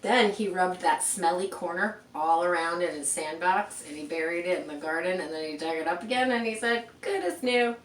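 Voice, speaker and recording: Female storybook reader, lowish voice, expressive with chatty asides for young listeners; roomy sound. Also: brisk, hardly any pauses. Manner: expressive, commenting aside and asking rhetorical questions. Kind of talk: read-aloud picture book with chatty asides to young listeners. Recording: roomy, distant mic with faint background noise.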